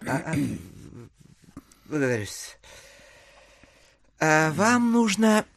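An actor playing a polite, careful gentleman visitor in an audio drama, hesitant and ingratiating. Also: on the high side, sing-song, drawn-out words, no room sound, heavily acted. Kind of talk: theatrical audio drama acting.

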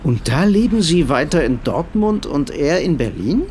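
An actor playing a polite, inquisitive gentleman traveller making careful conversation in a radio drama. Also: a little high, sing-song, normal speed, slight background noise. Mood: friendly, curious.

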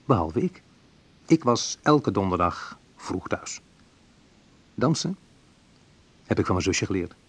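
An actor playing a wistful man recounting his youth in a reflective, measured radio play narration, with a slightly husky voice. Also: on the low side, very animated, full character voice. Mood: wry, hurt. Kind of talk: literary first-person radio play narration.

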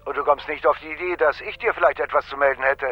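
Actor as stern boss, muffled and husky. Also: male voice, a little high, fairly even intonation, fast talker, soft background music, lightly acted. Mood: reproachful. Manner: curt, formal, reproachful. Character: stern, imperious male boss of an investigator. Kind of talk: radio crime drama acting. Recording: muffled, band-limited telephone call.

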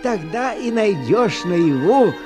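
An actor playing a kindly old grandfather who tells folk tales in a folksy, drawn-out, dramatic way for a fairy-tale radio play. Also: sits high, very animated, over music, heavily acted. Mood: warm, encouraging. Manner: folksy, drawn-out, dramatic storytelling with sighs and exclamations.

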